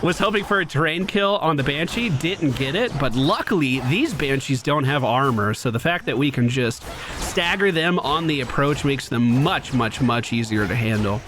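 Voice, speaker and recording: A man's voice: on the high side, noisy background. A man streaming a video game, giving casual play-by-play commentary on his own moves with asides to viewers. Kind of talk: live gameplay commentary, casual.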